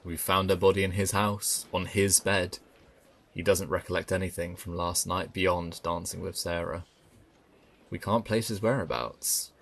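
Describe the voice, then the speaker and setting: A man's voice: low-pitched, fairly even intonation, measured pace, gravelly, fairly bright tone, full character voice. A man playing a grave but sympathetic male police officer in a naturalistic audio drama. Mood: grave, regretful. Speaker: actor in an audio play.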